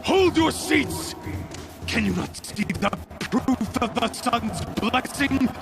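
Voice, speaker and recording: High-pitched, gravelly fanatical preacher. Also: male voice, expressive, slow pace, music in the background, heavily acted. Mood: fierce zeal. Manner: declamatory, grandiose, zealous. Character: fanatical male cult preacher rallying the faithful. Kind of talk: theatrical sermon, video game acting.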